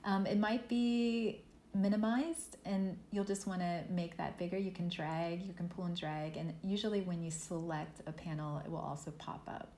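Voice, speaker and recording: Woman teaching a software workshop, echoey. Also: on the low side, moderate pace. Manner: relaxed, thinking aloud with fillers. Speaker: art workshop instructor. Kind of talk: software tutorial lesson. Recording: echoey room with faint noise.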